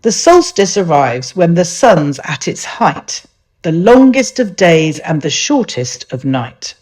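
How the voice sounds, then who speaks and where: Slow, lilting woman storyteller. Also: fairly low pitch. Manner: expressive, gentle. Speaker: author reading aloud. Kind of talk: rhyming picture-book storytelling.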